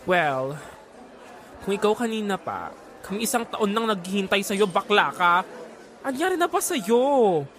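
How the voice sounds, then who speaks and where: A man's voice: sits high, big pitch swings, a little slow, pauses often, fairly bright tone, full character voice. A man playing a catty, dramatic friend with campy slang in a Filipino audio drama. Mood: sulky, catty. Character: Joven, sassy, catty male friend.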